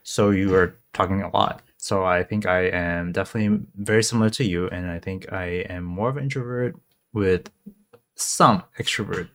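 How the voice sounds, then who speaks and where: A man's voice: low voice, moderate pace. A man from Hong Kong co-hosting a podcast, chatting casually with frequent laughs and offhand swearing. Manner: animated, giggly, swears casually. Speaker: podcast co-host. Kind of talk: casual chat.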